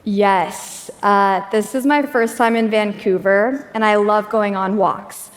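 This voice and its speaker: A woman's voice: mid-range voice, fairly even intonation, takes it slowly. Casual, chatty woman on stage. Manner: casual and chatty, with fillers and light laughs. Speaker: demo presenter. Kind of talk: live tech demo talk. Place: stage in a large auditorium. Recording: echoey hall.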